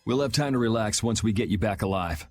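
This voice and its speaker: A man's voice: lowish voice, animated, fast. A man voicing a cool, protective young swordsman in a video game, casual and matter-of-fact. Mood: reassuring. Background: background music.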